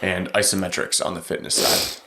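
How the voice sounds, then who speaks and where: Casting: young man, deep voice, relaxed co-host banter, close mic. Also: moderate pace, vocal fry. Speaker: podcast co-host. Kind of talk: casual chat. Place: small room indoors. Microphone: studio mic on stand, close.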